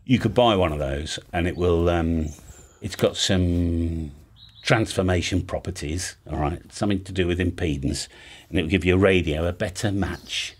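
A man's voice: deep voice, breathy edge. A middle-aged man giving an informal, chatty explanation, often checking in with the listener as he goes. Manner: chatty, casual, often checks in with "all right?". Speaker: host of a radio hobby channel. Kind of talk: informal explainer, talking through a hand-drawn diagram. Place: home music studio room, indoors.

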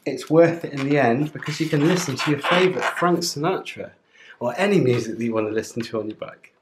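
A man's voice: medium pitch, a little fast. A man giving a chatty, informal step-by-step tutorial while working with his hands. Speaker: presenter of a motorcycle gear channel. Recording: roomy indoor sound, clear background noise, clicks.

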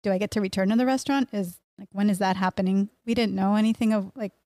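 A woman's voice: medium pitch, steady tone, quick pace, dark tone, no echo. A middle-aged woman from Miami, born in Mexico, talking casually as a podcast guest, close on a studio microphone. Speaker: podcast guest, pastry chef and bakery owner. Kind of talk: casual conversational interview. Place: small indoor podcast room. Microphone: close studio mic on boom arm, headphones.

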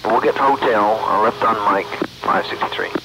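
A man's voice: on the low side, moderate pace. A man speaking as an airline pilot, giving informal, hesitant readbacks to ground control over aviation radio. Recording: muffled, noisy cockpit radio transmission.

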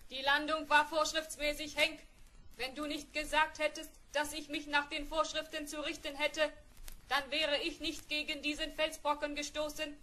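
A defiant robot from an old space radio play. It speaks very high, sharp and unvarying in tone, precise and coolly insolent. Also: female voice, flat delivery, normal speed. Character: willful, defiant robot R7 talking back to its pilot. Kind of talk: vintage German sci-fi radio play acting.

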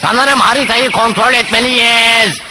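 A man's voice: extremely high pitch, measured pace. A man voicing a naive, talkative creature, a pirate's eager bumbling sidekick, in a cartoon dub with earnest, oblivious chatter. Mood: excited. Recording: very noisy cartoon soundtrack.

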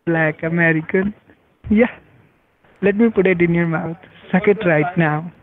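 A man's voice: a little high, fairly even intonation, unhurried, crowd noise. A man with an Indian accent on a phone call, arguing in a crude, taunting, foul-mouthed way. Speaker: phone scammer. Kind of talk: heated phone argument. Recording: tinny narrowband phone line.

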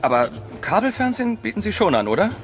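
Young male guest, flippant and mocking, animated intonation, music underneath, audio drama. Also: high-pitched, quick pace. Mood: sarcastic, mocking. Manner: sarcastic, flippant. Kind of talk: German audio drama acting.

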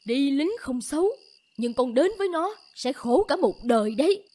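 A woman's voice: high voice, animated, normal speed, dark tone. A woman voicing a stern, worried middle-aged village mother in a cartoon, scolding and lamenting. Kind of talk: cartoon voice acting.

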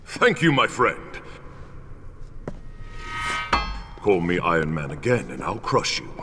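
An actor playing a noble, proud thunder god in a video game, speaking in a solemn, lofty manner. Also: male voice, low voice, very animated, moderate pace, sharp tone, slight background noise, exaggerated voice. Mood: grave. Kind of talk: video game cutscene acting. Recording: long hall reverb, music behind.

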